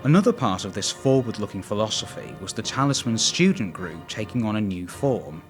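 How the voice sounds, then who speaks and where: A man's voice: lowish voice, very animated, a little fast, soft background music. A man narrating a documentary from a script.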